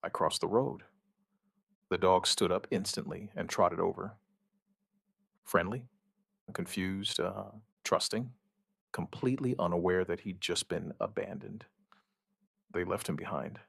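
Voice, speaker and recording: An actor playing a shaken outbreak survivor, giving his account in halting, somber, dramatic narration with short deliberate phrases. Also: deep voice, vocal fry, a bit bright, breathy edge, dry recording, studio quality, full character voice. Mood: quietly determined. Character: shaken male outbreak survivor recounting events, dryly stubborn. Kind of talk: dramatic first-person scripted narration, witness account.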